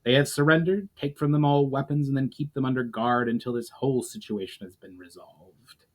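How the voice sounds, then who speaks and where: A man voicing a weary but principled fantasy war leader with earnest, deliberate emphasis. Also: medium pitch, pitched above the performer's own voice, natural voice. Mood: commanding.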